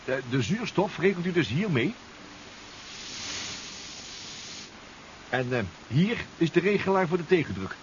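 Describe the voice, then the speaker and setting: An actor playing a calm, formal professor who pilots a submarine in a 1970s radio drama, measured and reassuring. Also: male voice, a little high. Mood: focused, instructing. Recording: muffled old radio recording with clear noise.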